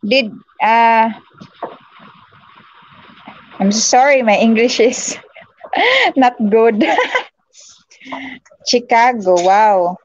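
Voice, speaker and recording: A young Filipino woman with a Filipino accent and Tagalog as her first language, chatting casually as a livestream host and haltingly searching for English words. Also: on the high side, expressive, lo-fi sound. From Philippines. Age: young adult. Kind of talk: casual livestream chat with viewers. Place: small room at home, indoors.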